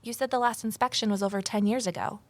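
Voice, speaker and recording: An actress playing an inquisitive amateur sleuth woman in an audio drama, expressive and pointed in her delivery. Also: medium pitch, fast talker, no echo, lightly acted. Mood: curious, suspicious. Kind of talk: full-cast audio drama acting, narration and dialogue.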